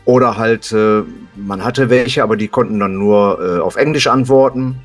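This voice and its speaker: A man's voice: on the low side, moderate pace, faint music behind. A man talking casually as an interview guest, explaining things in a colloquial, plain-spoken way. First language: German. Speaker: podcast guest, hobby musician.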